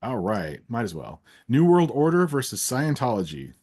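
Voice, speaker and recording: Male interviewer, mid-pitched and expressive, playful and teasing, running a casual quickfire quiz. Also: husky. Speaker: interviewer, host. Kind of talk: rapid either-or quiz in casual chat.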